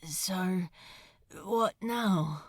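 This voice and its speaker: A woman voicing a weary, injured, grimly practical male demon with a hoarse, gravelly voice. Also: lowish voice, drawn-out words, no echo, studio quality.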